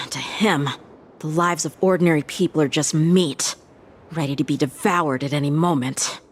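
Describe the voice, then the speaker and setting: A woman's voice: low voice, steady tone, moderate pace, slight background noise, lightly acted. A woman voicing an earnest, bold young swordswoman in a video game, a forthright subordinate to her general. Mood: sober. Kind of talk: dramatic video game voice acting.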